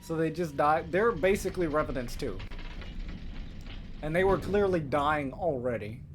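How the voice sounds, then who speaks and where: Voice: mid-range voice, animated, normal speed, slight background noise. A game livestreamer giving casual, offhand and flippant commentary while playing. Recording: loud game music behind the voice. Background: loud video game music.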